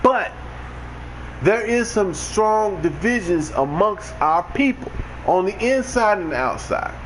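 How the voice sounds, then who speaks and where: A man's voice: on the high side, slight background noise, rough recording. A man giving a blunt, ranting, preachy monologue to his livestream viewers over a headset mic. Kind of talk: unscripted monologue.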